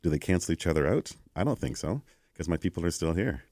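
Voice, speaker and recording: A Canadian man, a guest in an interview, telling stories in a conversational way and acting out quoted exchanges with lively energy. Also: deep voice, quick pace, dry recording, studio quality. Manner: animated, acts out quoted exchanges.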